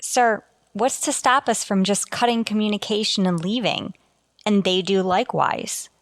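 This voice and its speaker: An actress playing an earnest communications officer on a starship in a sci-fi radio drama, deferential to a superior. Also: female voice, mid-range voice, moderate pace, no echo, studio quality, natural voice. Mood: anxious, questioning. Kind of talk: golden age sci-fi radio drama acting.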